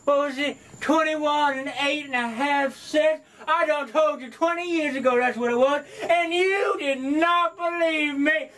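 Very high-pitched, whooping backwoods old coot. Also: male voice, measured pace, hardly any pauses, recorded from a distance, full character voice, rough recording. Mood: gleeful gloating. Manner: whooping, folksy, excitable. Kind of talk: western horror film acting.

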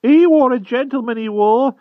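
A man's voice: extremely high pitch, normal speed, dry recording, pitched above the performer's own voice. A man voicing a timid old male hedgehog who pleads in a thick rustic country dialect. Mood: pleading. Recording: tinny phone-like dry sound.

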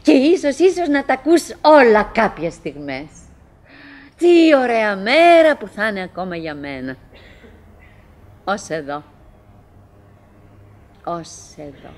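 An actress playing a chatty middle-aged wife in a theatrical radio drama monologue, halting and musing, by turns coaxing and murmuring, wistful, fretful and forcedly cheerful. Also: female voice, medium pitch, sing-song, a little mellow, close to an everyday voice. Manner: halting, musing, self-interrupting, swinging between coaxing and murmuring.

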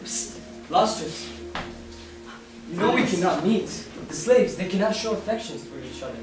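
A man's voice: sits high, lively intonation, moderate pace, noisy background. Lovestruck young slave played by a man, very echoey. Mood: tender, pleading. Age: young adult. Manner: ardent, earnest. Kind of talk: amateur romantic stage acting. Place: small theatre stage. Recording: very echoey theatre, distant, music behind.

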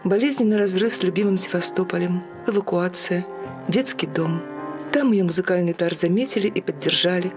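A woman's voice: fairly low pitch, brisk. A woman reading scripted documentary narration as the presenter of a television portrait. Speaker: TV narrator and interviewer. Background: music.

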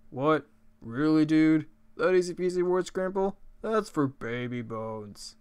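A man voicing a laid-back skeleton from a video game, a joker and judge who speaks in casual, deliberate phrases. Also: fairly high pitch, expressive, darkish tone, smooth. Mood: joking, teasing. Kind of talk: character voice acting of video game dialogue.

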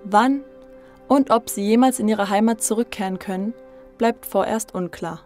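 A woman narrates a documentary in a steady, informative voice-over at a middle pitch. Instrumental music runs clearly beneath her words. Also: normal speed. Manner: steady, even-toned, informative delivery. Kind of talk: scripted narration.

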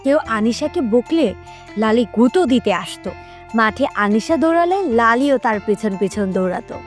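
Higher-pitched woman telling a children's story. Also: animated, moderate pace, faint music behind. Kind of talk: scripted children's story narration.

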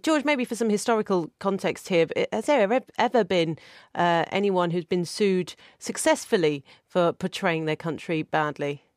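Woman hosting a radio panel, a bit low pitched, brisk and polite, dry studio mic. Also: dry recording. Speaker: radio show host.

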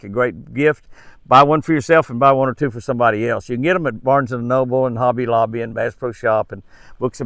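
An older man talks straight to camera in a folksy way. His pitch sits in the middle for a man, and he likes to repeat a phrase to drive it home. The sound is dry and open, recorded outdoors. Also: a bit bright, no echo. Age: 70s. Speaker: host of a devotional and fishing tip show. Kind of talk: folksy devotional talk and fishing tips to camera. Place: outdoors in a wooded park.

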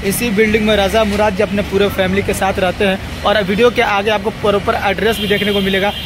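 A young man greeting viewers and pointing out buildings in a chatty way, filming himself with a phone outdoors on a city street. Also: high voice. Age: young adult. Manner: chatty, pointing things out while walking. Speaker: vlogger. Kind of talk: casual vlog greeting to camera. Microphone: phone held at arm's length. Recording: street traffic noise behind.

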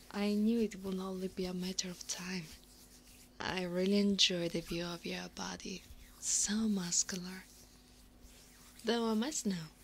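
Soft whispering centaur woman, acted by a woman, teasing. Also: fairly low pitch, slight background noise. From Italy. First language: Italian. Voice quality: breathy, often whispering. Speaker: ASMR roleplay performer. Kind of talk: ASMR roleplay.

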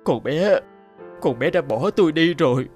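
An actor playing a gentle, grieving young father from a village in a cartoon, earnest and pleading. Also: fairly high pitch, animated, moderate pace, natural voice. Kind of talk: cartoon dub acting. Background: background music.

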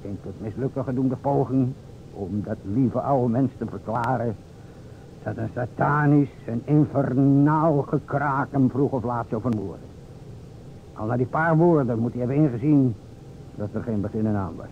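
An actor playing a solemn, theatrical investigator in an old radio drama, speaking formally and deliberately to a widow in a gravelly voice. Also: male voice, close to an everyday voice. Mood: insinuating, polite. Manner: formal, deliberate, dramatic storytelling with pointed pauses. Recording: vintage radio, narrow band, hiss and rumble.

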